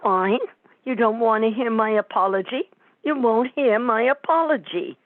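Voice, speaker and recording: A woman's voice: fairly high pitch, normal speed, natural voice. A woman playing a sarcastic, nagging, middle-aged mobster's wife and mother in an amateur comic radio play, heard through a tinny recording. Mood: scornful.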